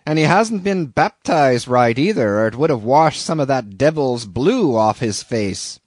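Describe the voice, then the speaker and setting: A man voicing a gruff, blustering old whaling captain in a storybook reading, loud, hearty and commanding. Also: medium pitch, lively intonation, unhurried, no room sound, studio quality.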